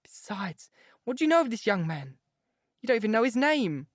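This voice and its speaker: A man's voice: high voice, big pitch swings, normal speed, no echo, clean studio sound, pitched above the performer's own voice. A man voicing a vain, mannered middle-aged actress who plays the mother. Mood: fussy. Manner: affected, mannered.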